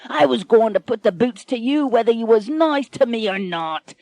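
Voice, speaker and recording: A woman reads as a leering one-eyed pirate captain, a man who drags out his threats slowly and loudly. His voice sits a little higher than her usual narration. The recording is dry and close. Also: lively intonation, no room sound. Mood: menacing.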